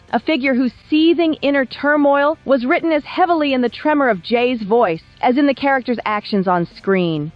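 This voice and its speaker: A woman's voice: on the high side, no room sound, lo-fi sound. A woman reading scripted documentary narration in a polished, literary storytelling manner. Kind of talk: scripted narration of a biography video. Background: background music.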